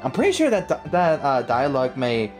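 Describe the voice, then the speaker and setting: Animated male gaming commentator. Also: medium pitch, fairly quick. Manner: excitable, reactive, repeats himself. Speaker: gaming YouTuber. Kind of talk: casual game playthrough commentary. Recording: game music behind voice.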